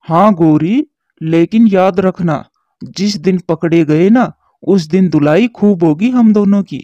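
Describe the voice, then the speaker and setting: A man voicing a scheming male crow who bullies other birds with slow, menacing threats. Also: sits high, warm tone, no echo, lo-fi sound.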